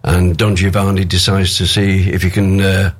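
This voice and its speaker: An older man with a deep voice, a singer chatting as a guest in a radio studio, telling stories with many fillers and restarts. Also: little pitch movement, moderate pace. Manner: storytelling, hesitant with fillers. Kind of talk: casual radio interview chat.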